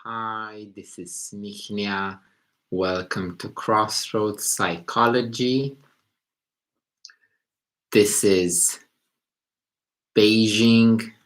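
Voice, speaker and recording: A man's voice: on the low side, fairly even intonation, a bit bright, low-quality recording. A man from Romania, hosting a casual live stream as a psychology teacher and thinking aloud as he talks. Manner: unhurried, thinking aloud, rephrasing as he goes. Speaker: psychology teacher and channel host. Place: indoors in Beijing at night.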